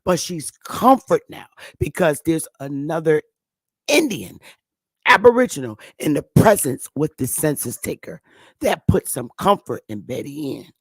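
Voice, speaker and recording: A woman's voice: deep voice, sing-song, measured pace, husky, dry recording. A woman hosting an online talk show, giving an emphatic, preachy casual lecture and drawing out her stressed words. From northern United States. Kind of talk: casual teaching monologue.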